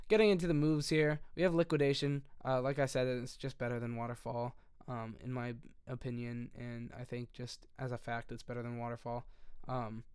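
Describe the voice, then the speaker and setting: A young man with a hoarse voice that keeps giving out, casually walking through a game strategy in a rambling, self-correcting way. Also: medium pitch, little pitch movement, moderate pace, darkish tone, no room sound, studio quality. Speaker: video creator.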